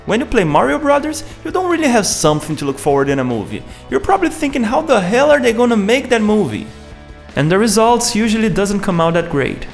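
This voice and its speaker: High-pitched man narrating a video essay. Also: sing-song, brisk, creaky. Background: music.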